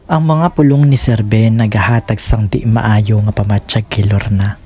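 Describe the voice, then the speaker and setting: Mellow-voiced Filipino male narrator. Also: fairly low pitch, steady tone, normal speed, slightly rough. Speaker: narrator and host. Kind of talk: scripted radio drama narration. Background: faint music.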